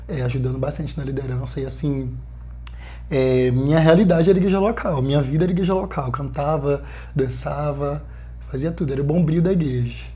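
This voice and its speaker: A man in his twenties from Bahia, a missionary guest telling his story in an earnest, lively way with many fillers. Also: mid-range voice. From Bahia, Brazil. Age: 20s. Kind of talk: casual podcast conversation.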